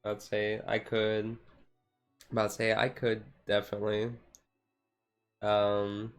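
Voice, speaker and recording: A man chatting casually on a relaxed livestream in a rambling, easygoing way. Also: lowish voice, slow, clean tone, soft background music. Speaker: streamer, tabletop game master. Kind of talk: casual chat on a relaxed ASMR-style livestream.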